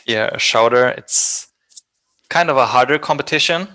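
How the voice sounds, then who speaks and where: A man's voice: mid-range voice, moderate pace, pushed and bright. A man from southern Germany with a German accent, speaking casually as an interview guest. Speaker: band vocalist, interview guest.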